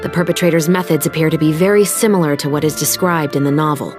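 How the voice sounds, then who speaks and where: Woman voicing serious young female officer, slightly low pitch, measured, formal, principled, game cutscene, music underneath. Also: fairly even intonation, natural voice. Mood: composed, assured. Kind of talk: dramatic game voice acting. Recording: music behind the voice.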